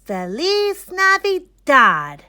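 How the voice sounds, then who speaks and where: A woman voicing an excited, proud young schoolboy in a children's storybook, with emphatic, stretched-out delivery. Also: high-pitched, extremely slow, no room sound, studio quality, pitched above the performer's own voice, full character voice. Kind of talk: children's storybook read-aloud.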